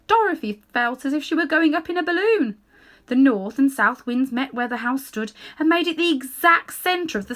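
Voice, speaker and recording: A woman reading a children's story aloud in an animated way, with dramatic pauses and hushed little asides. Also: a little high. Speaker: storybook reader.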